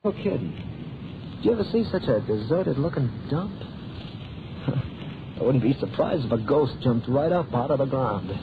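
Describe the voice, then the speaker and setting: A man's voice: mid-range voice, expressive, moderate pace, long pauses, close to an everyday voice. An actor playing a nervous, wisecracking American newsreel cameraman, hesitant and slangy, in vintage radio drama style. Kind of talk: 1930s-40s radio acting. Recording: muffled, noisy old radio recording.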